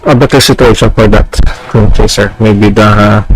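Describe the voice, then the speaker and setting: A man's voice: on the low side, fairly even intonation, normal speed, soft background music, low-quality recording. A man giving casual gameplay commentary, thinking aloud as he plays. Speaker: let's play streamer.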